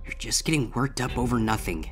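A man's voice: medium pitch, lively intonation, a little fast, voice pushed up, close to an everyday voice. A man voicing a young male griffin student, anxious and panicked, in a storybook reading. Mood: uneasy muttering. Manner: anxious, panicked muttering and crying out. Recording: long reverb, music behind.

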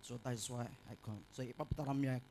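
A man's voice: mid-range voice, steady tone, quick pace, mellow, a little hiss or hum, close to an everyday voice. A man playing a boastful young campus bully who threatens a woman.

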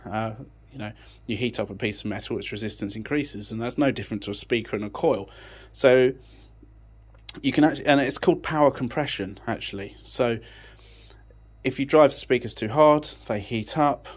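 A man's voice: mid-range voice, moderate pace, breathy edge. A man giving an informal technical explanation in a matter-of-fact, unscripted way, thinking as he goes. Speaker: audio technician presenting a tech channel. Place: indoors, beside an amplifier rack.

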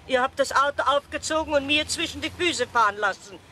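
A woman's voice: sits high, brisk, pushed and bright, a little hiss or hum. An actress playing an indignant, suspicious elderly woman who scolds boys in a children's radio play. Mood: scolding.

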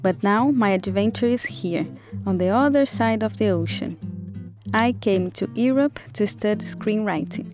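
A woman from Brazil introducing herself in an earnest, reflective way. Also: medium pitch. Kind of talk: scripted self-introduction.

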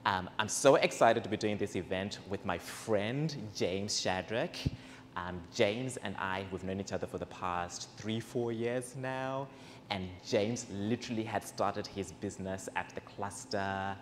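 A young man from Zimbabwe hosting a community event, speaking earnestly and warmly about gratitude into a handheld mic before a seated audience in a large indoor space. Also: on the low side, a little slow. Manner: earnest, personal and grateful. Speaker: event host. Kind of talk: speech to a live audience. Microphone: handheld microphone. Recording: reverberant hall, faint background noise.

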